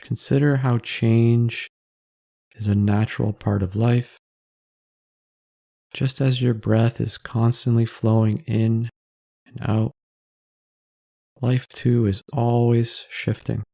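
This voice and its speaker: A man leading a guided meditation in a soft-spoken, measured, calming way with pauses between phrases. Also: mid-range voice, vocal fry, no echo, studio quality.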